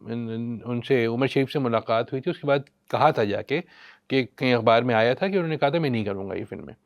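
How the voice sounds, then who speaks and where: A man's voice: mid-range voice, brisk. A man with a Pakistani accent giving opinionated film commentary in an emphatic, sarcastic way, often posing rhetorical questions. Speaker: podcast guest, film critic.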